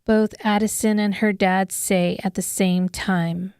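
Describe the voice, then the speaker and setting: A woman with a smooth, clear voice giving scripted dramatic narration of an audiobook. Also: medium pitch, level tone, unhurried, darkish tone, no echo, studio quality.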